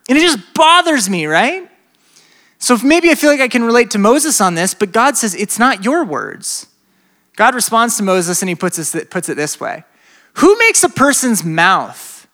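Animated young pastor preaching with bright energy. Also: male voice, high voice. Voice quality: slight speech impediment. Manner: animated, swings from loud to hushed. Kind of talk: sermon to a college crowd.

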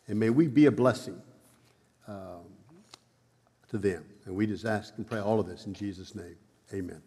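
Guy leading church prayer, stutters a lot, kinda low voice, big echoey sanctuary. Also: warm tone, husky. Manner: stutters, with many repeats and fillers. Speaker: preacher. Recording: long hall reverb.

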